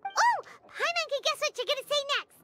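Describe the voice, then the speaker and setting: A woman voicing a small, excitable, childlike fairy companion with a squeaky voice in a video game, over music. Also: brisk. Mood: excited. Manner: refers to self in third person, exclamatory. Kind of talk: animated game voice acting. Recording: prominent background music.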